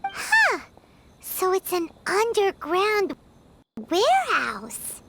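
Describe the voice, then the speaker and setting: A woman voicing a tiny childlike fairy companion in a video game, chirpy and impatient, with a squeaky, expressive delivery. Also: extremely slow, noisy background, heavily acted. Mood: curious, surprised. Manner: exclamatory, expressive. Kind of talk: scripted video game dialogue. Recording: loud music behind the voice.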